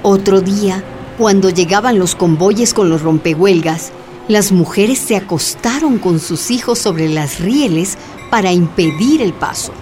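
A woman's voice: medium pitch, unhurried, a little mellow, soft background music, close to an everyday voice. A woman narrating a scripted radio drama as an earnest storyteller.

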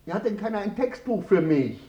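An actor playing an imperious, sneering theatre director in a radio drama, commanding and declaiming with drawn-out calls. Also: male voice, high voice, expressive, roomy sound, dull audio, loud music behind the voice. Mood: impatient, commanding.

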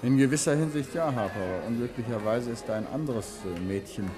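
Casting: male actor as urbane, probing sleuth, medium register, vintage radio drama, clear music underneath. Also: medium pitch, close to an everyday voice. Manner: measured, courteous, probing. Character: urbane, polite amateur detective and crime novelist. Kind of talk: 1950s German radio drama acting.

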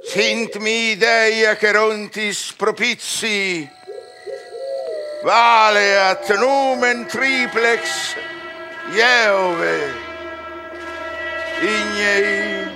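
Slow, solemn scholar-sorcerer. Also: male voice, high-pitched, steady tone, music in the background, exaggerated voice. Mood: commanding incantation. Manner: solemn, incantatory, declaimed. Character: grave scholar-sorcerer solemnly summoning spirits. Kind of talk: classical verse tragedy acting.

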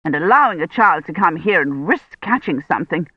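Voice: mid-range voice, animated, fairly quick, slightly rough, no room sound, muffled recording. A narrator voicing a haughty, self-righteous older woman who scolds in a pompous, indignant way. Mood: disapproving.

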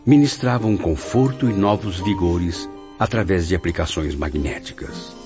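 Man acting a deep, grave repentant spirit. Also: normal speed, natural voice. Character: grave male suicide spirit, humbly questioning and recounting. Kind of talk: radio drama acting and narration. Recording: muffled audio, faint music.

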